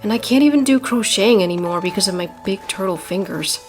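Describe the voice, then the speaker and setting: A woman's voice: medium pitch, fast talker, soft background music, lightly acted. A woman voicing a tough, sardonic young female ninja turtle in a comic dub, speaking in a dry, casual way. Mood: glum.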